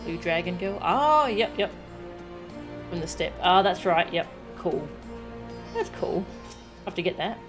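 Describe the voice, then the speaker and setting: A woman's voice: on the low side, expressive, a little slow, long pauses, music in the background. A woman streaming a video game, giving casual, offhand commentary as she plays.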